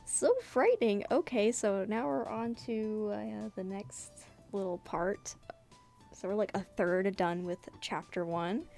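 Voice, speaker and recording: A woman giving a casual dramatic reading with chatty commentary, animated and giggly as the comic's creator. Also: medium pitch, slow pace, vocal fry, soft background music. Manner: giggly, animated, self-amused. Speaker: webcomic creator reading their own comic.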